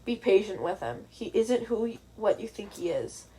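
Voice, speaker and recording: A woman's voice: medium pitch, lively intonation, moderate pace, dark tone, slightly rough, poor audio. Woman voicing a tired, caring young woman. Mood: gently reassuring.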